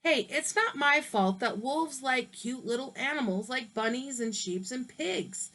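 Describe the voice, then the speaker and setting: A woman's voice: sits high, animated, measured pace, sharp tone, heavily acted. A woman voicing a male wolf who narrates his own version of a storybook in a chatty, persuasive way. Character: smooth-talking male wolf telling his side.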